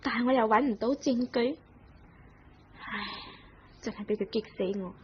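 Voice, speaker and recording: A woman's voice: on the high side, moderate pace, a little hiss or hum, lightly acted. An actress playing a young wife angry at her cheating husband, speaking Cantonese-accented Chinese in a vintage radio play. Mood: aggrieved. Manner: indignant, rising to screams. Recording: dull lo-fi old radio recording.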